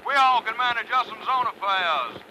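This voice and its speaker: An actor playing a defiant, folksy Southern US orator declaiming a political speech in a radio play. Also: male voice, very high voice, expressive, normal speed. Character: blustering, defiant Southern US orator on an ancient recording. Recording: noisy, harsh old archive recording.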